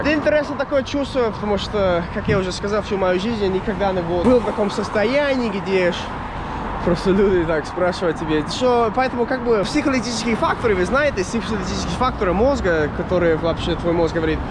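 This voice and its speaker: Young man, American accent, non-native speaker, high voice, rambling casual vlog talk, many fillers, traffic noise behind. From United States. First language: English. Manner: rambling, searching for words, many fillers and restarts. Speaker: vlogger. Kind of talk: casual chat to camera.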